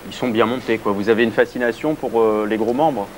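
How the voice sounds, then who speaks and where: A man's voice: on the low side, fairly quick, slight background noise. A man hosting a TV talk show, teasing his guests playfully. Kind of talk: teasing TV host banter. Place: pink TV studio set.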